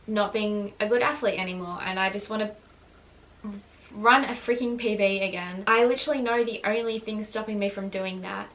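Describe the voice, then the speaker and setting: A young woman speaking candidly to camera in a personal vlog, earnest and confessional, often pausing and restarting her sentences. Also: mid-range voice, fairly bright tone, rough recording. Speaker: vlogger and runner.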